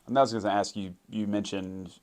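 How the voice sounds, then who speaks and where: A man hosting an interview, asking rambling questions and reacting with short remarks in a relaxed way. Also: on the low side, a little fast. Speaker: podcast host. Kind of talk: casual interview chat.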